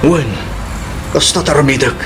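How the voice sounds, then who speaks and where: A man's voice: on the high side, moderate pace, over music, close to an everyday voice. A man playing a shifty, brazen grown son who demands money, in an Ilocano radio drama. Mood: dismissive, low.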